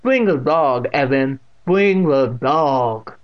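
A man voicing a stern, eerie deaf old woman of at least eighty in a storybook reading, with slow, drawn-out, commanding speech. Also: mid-range voice, animated, muffled recording. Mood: stern, cold.

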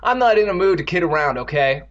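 A man's voice: on the high side, big pitch swings, fairly quick, slightly rough, pitched above the performer's own voice. A man voicing a panicky, exasperated young boy from a horror story, with whiny dramatics, in a muffled recording. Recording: dull, muffled lo-fi sound.

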